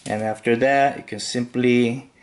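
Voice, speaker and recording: Slow-talking man explaining fishing knots. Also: medium pitch, noisy background. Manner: slow, relaxed instructional talk with fillers. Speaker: fishing tutorial host. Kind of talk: step-by-step demonstration voice-over.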